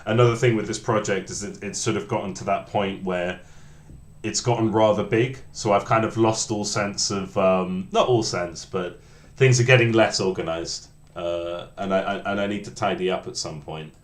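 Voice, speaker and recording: Low-voiced man rambling on a livestream. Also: echoey room. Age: 30s. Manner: rambling, halting, many restarts, self-deprecating. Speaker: game developer streaming. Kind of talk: casual livestream chat while coding.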